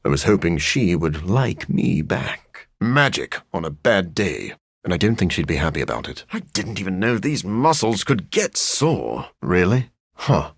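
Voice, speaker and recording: Gravelly, brooding vampire gentleman. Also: deep voice, very animated, no echo, studio quality, full character voice. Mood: earnest, wistful. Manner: formal, measured, wry and theatrical delivery. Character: brooding, dryly witty, gentlemanly male scientist vampire. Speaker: video game voice actor. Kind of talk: scripted video game character lines.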